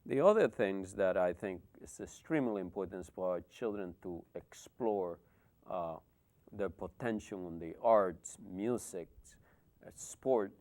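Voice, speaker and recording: A man's voice: low voice, animated, measured pace. A middle-aged man from the Republic, an education official speaking earnestly as a talk show guest. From Dominican Republic. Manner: earnest, warm-hearted explanations with fillers. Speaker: school superintendent. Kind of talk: interview guest.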